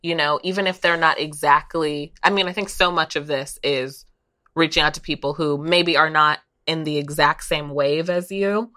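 Low, bright-voiced woman in conversation. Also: steady tone, moderate pace. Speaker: podcast discussion guest. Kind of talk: casual, candid conversation.

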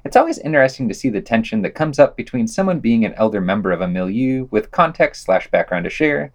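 Male podcast reviewer, mid-pitched but animated intonation, measured analytical delivery of written critique. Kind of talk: scripted review narration.

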